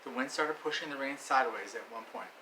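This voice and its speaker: A man voicing a folksy uncle who tells a tall tale around a campfire in a story reading, telling it like excited play-by-play. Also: mid-range voice, quick pace, close to an everyday voice. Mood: storytelling. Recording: echoey room with faint noise.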